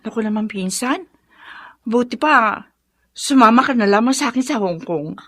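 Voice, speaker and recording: A woman's voice: fairly high pitch, expressive, normal speed, a bit bright, no room sound. An actress playing a frank, persuasive female cousin in a Filipino radio drama.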